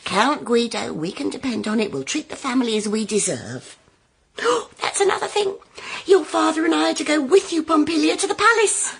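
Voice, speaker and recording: A woman's voice: high voice, expressive, moderate pace, gravelly. A scheming mother of middle age in a radio play. Her voice swoops widely as she coaxes and insists, full of theatrical self-justification. There is faint noise behind her. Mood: persuasive, then brisk excitement. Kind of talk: radio drama acting.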